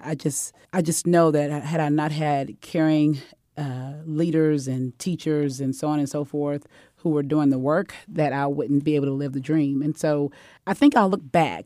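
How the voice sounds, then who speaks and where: Earnest, warm-toned superintendent. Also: mid-range voice, no echo, clean studio sound. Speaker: school superintendent. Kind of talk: panel discussion.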